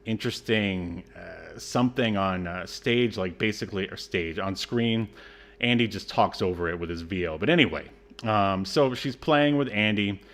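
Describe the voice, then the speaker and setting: A man's voice: fairly low pitch, normal speed, fairly bright tone. A man co-hosting a podcast, recapping a film in a casual, mocking and sarcastic way. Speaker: podcast co-host recapping a movie.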